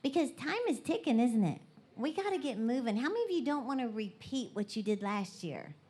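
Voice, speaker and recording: Bubbly high-voiced Southern woman preaching. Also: very animated, a little fast, a little mellow, slightly rough. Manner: bubbly, folksy, conversational. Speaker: guest motivational preacher. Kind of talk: motivational talk to a live church gathering. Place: large indoor church event.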